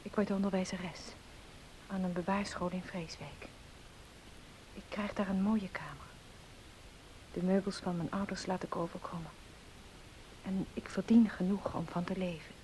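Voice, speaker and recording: A woman's voice: fairly low pitch, steady tone, normal speed, pauses often, warm tone, close to an everyday voice, poor audio. An actress playing a polite, determined young woman in a Dutch radio play, speaking earnestly. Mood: pained but firm. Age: young adult. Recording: hissy old radio play recording.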